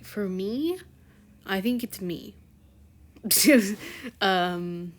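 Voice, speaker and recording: Chatty rambling teen girl. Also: medium pitch. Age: teens. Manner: rambling, chatty, many fillers and self-corrections. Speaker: fanfiction channel host. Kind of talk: casual chat to viewers.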